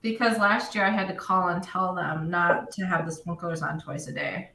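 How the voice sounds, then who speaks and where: A woman chatting casually on a podcast, agreeable and quick to laugh. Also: fairly low pitch, fairly even intonation, vocal fry, pushed and bright, echoey room, far from the mic, slight background noise. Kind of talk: casual chat.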